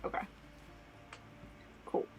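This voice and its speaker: A woman's voice: extremely slow, dark tone, soft background music. A woman chatting casually as a player in a tabletop game. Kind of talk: casual chat.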